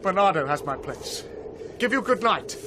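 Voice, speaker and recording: A man's voice: sits high, lively intonation, normal speed. A British man acting a cold, weary and jumpy sentry in a Shakespearean radio drama. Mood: relieved. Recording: noisy 1990s radio drama with ambience.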